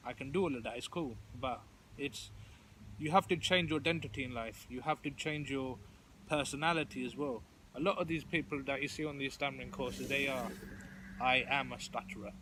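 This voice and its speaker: A man's voice: mid-range voice, a little fast, sharp tone, a little hiss or hum, poor audio. A man speaking earnestly in casual conversation, with emphatic delivery. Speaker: stammering support group member.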